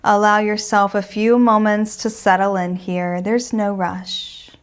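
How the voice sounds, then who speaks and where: A woman teaching a guided meditation in a gentle, soothing and reassuring manner. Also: mid-range voice, little pitch movement, unhurried, smooth.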